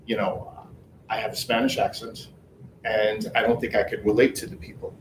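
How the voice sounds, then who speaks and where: Male meeting leader, brisk and casual, roomy room with faint noise. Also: on the low side, little pitch movement. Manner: reads rankings out in a brisk list, then chats casually. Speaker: sales team leader. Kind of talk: meeting in front of a group.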